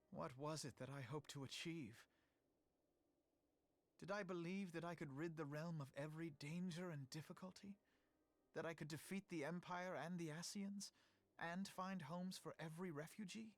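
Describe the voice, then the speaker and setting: A man's voice: on the high side, moderate pace, lightly acted. An actor playing a once-proud teenage male elf noble in a fantasy game, with formal archaic diction and bitter self-reproach. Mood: bitter remorse. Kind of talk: dramatic fantasy game voice acting.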